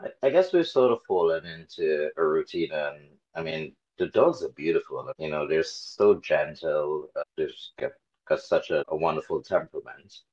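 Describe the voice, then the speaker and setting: A man in his forties from Trinidad and Tobago, talking casually as an interview guest, relaxed and a bit hesitant with many fillers. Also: a bass voice, moderate pace, highs cut off. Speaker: interview guest, dog carer volunteer.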